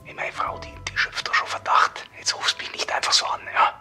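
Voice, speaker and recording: A man playing a nervous married lover in a radio play, speaking in secret on the telephone in a hushed whisper. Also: brisk, faint music behind. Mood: furtive.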